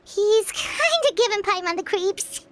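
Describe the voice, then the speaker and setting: An actress voicing a tiny, excitable female fairy companion in a video game, squeaky, childlike and expressive. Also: unhurried, slight background noise, exaggerated voice. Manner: chatty, animated, expressive.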